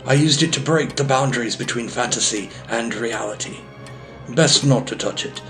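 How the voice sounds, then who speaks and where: A man playing a measured, cautioning wizard in an audio drama. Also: mid-range voice, a bit bright, faint music behind, lightly acted.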